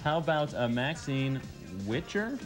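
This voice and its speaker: A man's voice: fairly high pitch, animated, measured pace. A man anchoring a local morning newscast from a studio, reading items and bantering lightly. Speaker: news anchor and reporter. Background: music bed, director's cues talking over him.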